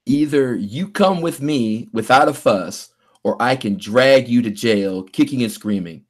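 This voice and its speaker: A man playing an ominous, gruff figure with a deliberate, threatening delivery in an audio drama. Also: mid-range voice, lively intonation. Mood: menacing. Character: ominous alien visitor and gruff bounty hunter.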